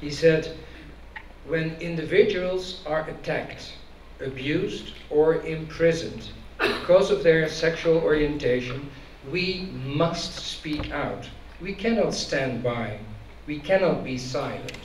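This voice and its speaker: A man giving a deliberate, emphatic introduction speech at a public event as an advocacy director. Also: on the high side. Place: large indoor event venue with stage. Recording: echoey hall from far off, noisy.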